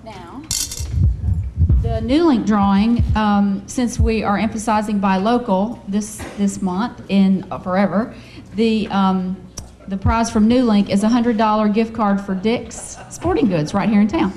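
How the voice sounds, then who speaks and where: A woman's voice: mid-range voice, steady tone, a little slow, mellow, slight background noise. A woman making informal prize announcements at a live meeting. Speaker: door prize presenter.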